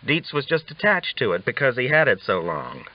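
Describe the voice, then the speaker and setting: A man's voice: mid-range voice, sing-song, normal speed, highs cut off, voice pushed up. A man voicing a talkative, teasing old Texas cowboy with folksy, drawling talk. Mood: teasing, animated.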